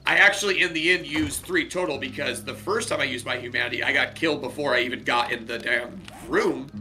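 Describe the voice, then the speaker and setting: A man with a very bright, forceful tone talks through a game in loose, rambling chat. His voice sounds worn from a recent cold. Faint music plays underneath. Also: mid-range voice, fairly quick, rough recording. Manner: rambling, restarts and chatty asides. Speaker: streamer and co-host. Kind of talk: casual gaming chat.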